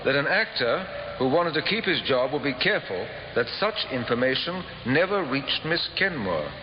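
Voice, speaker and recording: An actor playing a businesslike police inspector in a radio drama, questioning formally and deliberately. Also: male voice, medium pitch, sing-song, natural voice. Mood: measured, probing. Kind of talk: 1950s radio drama acting. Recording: dull, reverberant old radio recording, faint noise.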